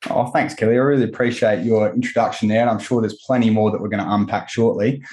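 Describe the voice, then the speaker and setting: A man's voice: fairly low pitch, steady tone, fast, a little mellow. A man hosting a podcast, moving between scripted introductions and conversational questions to guests. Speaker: podcast host. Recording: roomy, echoing voice recording.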